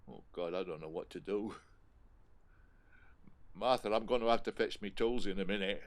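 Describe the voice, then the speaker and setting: A man's voice: lowish voice, moderate pace, slight background noise, natural voice. A man playing a working-class husband in an audio play, with a colloquial, homely, coaxing way of talking. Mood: anxious coaxing.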